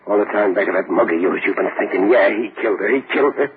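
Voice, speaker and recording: An actor playing a desperate man accused of murdering his wife, talking in a gruff, intense voice broken by grief, in 1940s radio drama style. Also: fairly low pitch, fast, close to an everyday voice. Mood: grim, demanding. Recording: tinny old radio broadcast.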